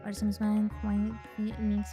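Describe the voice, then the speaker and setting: A woman from Chile chatting casually and excitedly while streaming a game, with the odd swear. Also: mid-range voice, unhurried, faint music behind. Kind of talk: casual gaming livestream chat.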